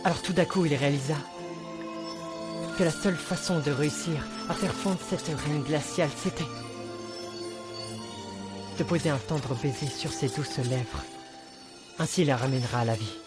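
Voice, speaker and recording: Loud music surrounds a man acting as an excitable, romantic fantasy suitor in an amateur dub. His voice sits fairly high, and he tells his tale tenderly and theatrically. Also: normal speed, pauses often, close to an everyday voice.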